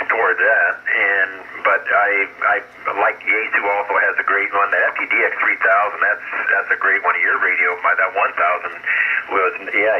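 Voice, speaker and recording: A man with a very low voice rambles casually and hesitantly as he talks on amateur radio. The transmission is dull and band-limited, with a light layer of noise behind him, as if played from a transceiver speaker. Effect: heard over shortwave radio. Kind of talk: casual ham radio conversation. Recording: muffled, hissy ham radio transmission.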